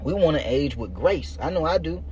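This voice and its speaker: A man's voice: a little high, animated, moderate pace, a little mellow, slightly rough. A man in his thirties hosting a fitness podcast, giving an informal motivational monologue in slangy, colloquial language aimed straight at listeners. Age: 30s. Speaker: podcast host and fitness coach. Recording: muffled, noisy lo-fi recording.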